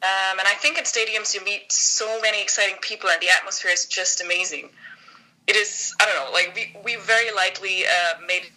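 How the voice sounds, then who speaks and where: A woman's voice: mid-range voice, steady tone, brisk, pushed and bright, poor audio. A woman in her thirties from northern Germany with a German accent, a guest telling her story in a friendly podcast interview and laughing often. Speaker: guest academic.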